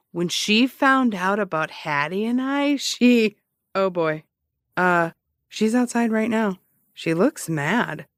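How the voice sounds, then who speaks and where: An actress playing a cocky, sarcastic amateur investigator and broadcaster who teases and rambles defensively in an audio comedy-drama. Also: female voice, mid-range voice, dry recording, studio quality, lightly acted. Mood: nervous rambling. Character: cocky, needling amateur investigator and radio broadcaster, adult woman. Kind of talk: naturalistic audio fiction comedy-drama acting.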